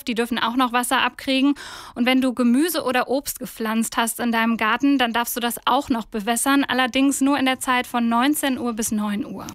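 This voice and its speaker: Higher-voiced female radio reporter, dry sound. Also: no room sound. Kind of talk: conversational explanatory report.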